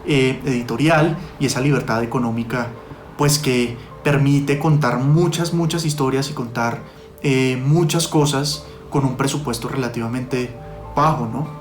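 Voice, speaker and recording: A man giving explanatory interview answers, pausing and rephrasing often as he goes. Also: mid-range voice. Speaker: podcast producer, interview guest. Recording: roomy echo, faint music.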